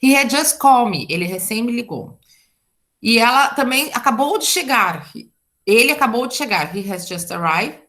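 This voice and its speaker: A woman's voice: fairly high pitch, expressive, normal speed, fairly bright tone. A Brazilian woman teaching an English grammar class online, chatty and explaining with frequent asides and checks like "tá?". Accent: Brazilian Portuguese.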